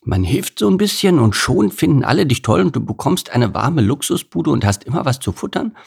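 A man's voice: fairly high pitch, sing-song, fairly quick, gravelly, a little mellow, slightly rough, pitched above the performer's own voice, exaggerated voice. A man voicing a puzzled, easygoing male beaver who grumbles and muses to himself, in a children's storybook reading. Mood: curious.

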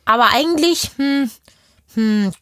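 Little kindergarten girl played by a woman for children's audio play: high voice, curious, cheeky, chatty, close dry sound. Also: expressive, slow pace, a bit bright, smooth, no echo, exaggerated voice. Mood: puzzled. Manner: childlike slang, emphatic, cheeky.

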